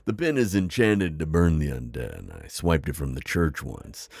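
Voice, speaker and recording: Man acting as a very deep, gravelly vampire innkeeper. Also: big pitch swings, normal speed, darkish tone, dry recording, studio quality, full character voice. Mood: reassuring. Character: friendly, wise old vampire innkeeper, male, welcoming and chatty. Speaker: roleplay audio performer. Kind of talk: immersive ASMR roleplay acting.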